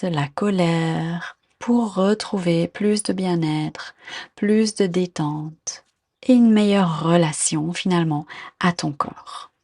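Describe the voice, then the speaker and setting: A woman guiding a body scan meditation in a calm, gentle, unhurried manner, giving soft instructions with pauses. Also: on the low side. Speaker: meditation guide.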